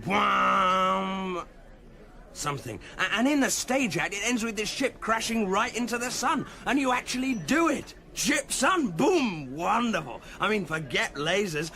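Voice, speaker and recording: A man playing a brash, excitable party-loving rogue in a sci-fi radio comedy, rambling in a hip, exuberant way. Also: sits high, vocal fry, full character voice. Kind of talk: radio comedy drama acting.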